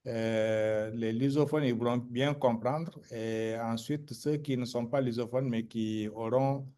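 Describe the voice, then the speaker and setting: Man, mid-pitched, moderating a video call, calm explainer, lively when telling stories. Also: slow. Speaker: meeting moderator. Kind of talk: online meeting moderation.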